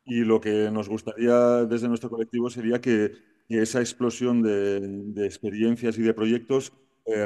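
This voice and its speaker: Forties man, low dark voice, video call through hall speakers, measured and halting. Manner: deliberate, frequent fillers. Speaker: panel speaker joining remotely. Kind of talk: measured panel talk.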